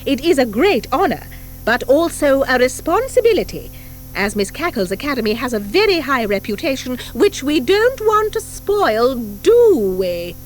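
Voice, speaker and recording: A woman voicing a stern, formidable schoolmistress in an audiobook, speaking crisply, precisely and with command. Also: high-pitched, sing-song, moderate pace, faint music behind, voice pushed up. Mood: authoritative. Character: stern, formidable, brisk schoolmistress at a witches' academy. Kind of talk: audiobook character acting. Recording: 1984 audiobook from cassette.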